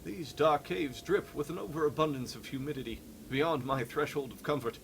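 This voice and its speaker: A man's voice: fairly high pitch, normal speed, gravelly, fairly bright tone, slight background noise. A man voicing a fussy, troubled adventurer who is turning into a sea creature, reading a game journal theatrically. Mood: uneasy, fastidious. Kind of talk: dramatic read-along of game journal.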